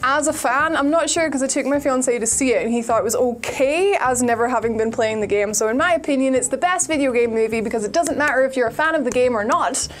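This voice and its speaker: A woman's voice: high-pitched, quick pace, hardly any pauses. A woman giving her opinion as a panellist in casual, enthusiastic, informal chat. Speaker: panellist on a gaming show.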